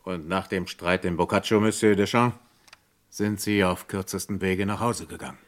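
An actor playing a courteous, sceptical French police commissioner in a vintage German radio crime drama, questioning in a measured way with thoughtful hums. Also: male voice, low-pitched, steady tone, soft background music, lightly acted.

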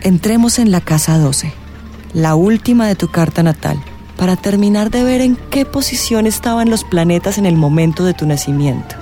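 A woman's voice: lowish voice, animated, mellow, lightly acted. An actress playing an eccentric, gushing and flirtatious witchy astrologer in a radio drama, with dramatic, suspenseful phrasing. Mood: awed, dramatic. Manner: dramatic, suspenseful drawn-out delivery with whispers. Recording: music playing behind the voice.

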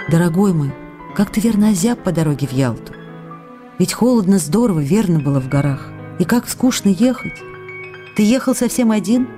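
An actress playing a lonely, adoring wife reading her letters to an absent husband, gently and emotively, in a radio drama. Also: on the low side, close to an everyday voice. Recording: long reverb, faint music behind.

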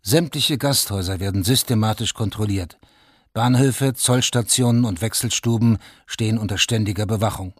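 A man narrating a radio drama from a script in a factual, measured, documentary-like way. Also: fairly low pitch, slightly rough, dry recording, studio quality. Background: music.

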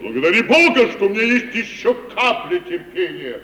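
An actor playing a pompous tragic actor, grandly outraged over honour, declaiming with theatrical pauses and sudden outbursts in 1950s Russian radio comedy. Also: male voice, sits high, sing-song, pushed and bright, a little hiss or hum, heavily acted. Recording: roomy vintage 1950 radio play recording.

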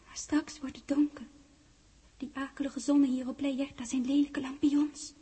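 High, mellow, dreamlike young woman played by an actress. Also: little pitch movement, normal speed, natural voice. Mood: wistful, haunting. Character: dreamlike young woman from a man's memory, tender, teasing. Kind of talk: 1960s radio drama acting.

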